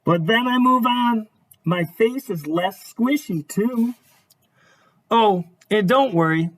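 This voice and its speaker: A man doing a reformed little grape, once sour and now cheerful, telling its own story in a raised, bouncy storybook voice. The pitch swoops widely and the tone is a touch husky. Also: slow pace, exaggerated voice. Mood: reflective. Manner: earnest, lively storybook narration.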